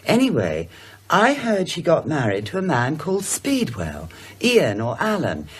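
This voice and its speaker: A woman's voice: low voice, wildly swooping pitch, measured pace, husky, full character voice. An actress playing an inquisitive, decisive married woman in a British radio drama, speaking in a musing, emphatic way. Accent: British English.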